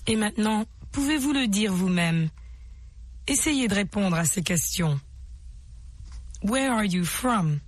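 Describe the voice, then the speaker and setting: Woman, lesson narrator, breathy, dry close sound. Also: mid-range voice, expressive, no echo. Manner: measured, instructive. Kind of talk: scripted language-lesson narration.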